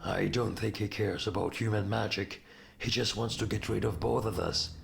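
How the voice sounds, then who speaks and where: A man playing a gruff, shrewd orc war chief in a video game cutscene, with a heavily gravelly voice. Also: lowish voice, fairly even intonation, moderate pace, music in the background. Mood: bitter.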